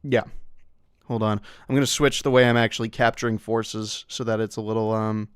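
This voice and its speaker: A man hosting a live game stream, chatting casually and relaxed, with plenty of fillers. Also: lowish voice, fairly quick, clean tone, dry recording, clean studio sound. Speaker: streamer hosting a mod playthrough.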